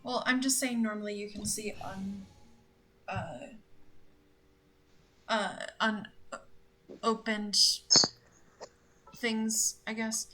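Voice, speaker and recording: A woman's voice: medium pitch, fairly even intonation, slow pace, pauses often, a bit bright, low-quality recording. A young woman chatting casually with a gaming partner, hesitant at times and playful. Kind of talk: casual gameplay chat. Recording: faint background noise.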